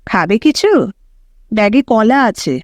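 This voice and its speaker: Woman voicing a guarded young mother, high-pitched. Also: very animated, slow, darkish tone, no echo, clean studio sound. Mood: coaxing. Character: reserved, flustered young mother travelling with small daughter. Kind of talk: audiobook character dialogue.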